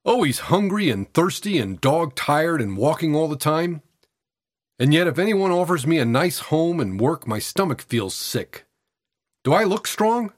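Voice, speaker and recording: A man voicing a frail eighteen-year-old tramp boy, cocky, weary and defiant, with husky, breathless, colloquial working-class speech. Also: a little high, sing-song, normal speed, no echo, studio quality, pitched above the performer's own voice. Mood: intense, insistent.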